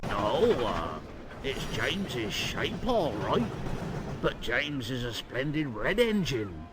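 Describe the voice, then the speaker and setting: A man's voice: mid-range voice, wildly swooping pitch, takes it slowly, heavy background noise. A man voicing Toby, a small old-fashioned male tram engine who teases in a mild, drawling way. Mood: sly. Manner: mild, drawling, sly teasing.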